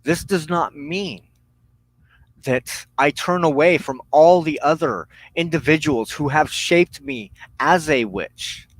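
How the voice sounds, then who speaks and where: A man giving a spiritual teaching monologue as a broadcast host, solemn and deliberate, speaking in halting phrases with pauses. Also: a little high, no room sound, poor audio. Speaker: religious teacher hosting a broadcast on witchcraft.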